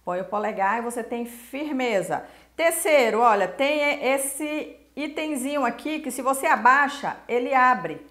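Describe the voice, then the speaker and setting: A woman's voice: high-pitched, a little slow, fairly bright tone. A Brazilian woman hosting a casual cooking lesson, chatty and emphatic as she talks straight to the viewer. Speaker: cooking show host. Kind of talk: casual instructional talk to camera. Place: small indoor kitchen. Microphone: lapel mic. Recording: roomy kitchen echo.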